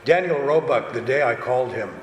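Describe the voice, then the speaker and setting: A man talking casually as a director and actor on a convention panel, chatty and halting, often restarting his thoughts. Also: mid-range voice. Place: large convention panel room. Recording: echoey hall, distant, noisy room. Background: room ambience and noise.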